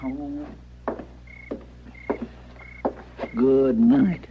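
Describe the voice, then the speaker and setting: An actor playing a simple, kindly, easily flustered frontier deputy in a radio western, with a rural Western American accent and a hesitant, folksy, stammering way of talking. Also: male voice, mid-range voice, fairly even intonation, drawn-out words. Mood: plaintive. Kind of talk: 1950s radio western acting. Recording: muffled, noisy old radio recording.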